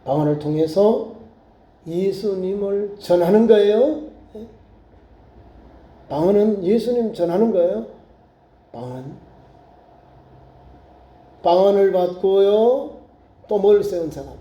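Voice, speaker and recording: Animated high-voiced preaching pastor. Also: male voice, takes it slowly, pauses often, highs cut off. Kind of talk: sermon. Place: church sanctuary. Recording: echoey church room.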